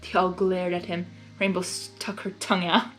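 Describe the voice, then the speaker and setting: A woman reading fan fiction aloud as host, in an expressive storybook narration style. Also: fairly low pitch, moderate pace, soft background music, low-quality recording. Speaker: fanfiction reader and channel host.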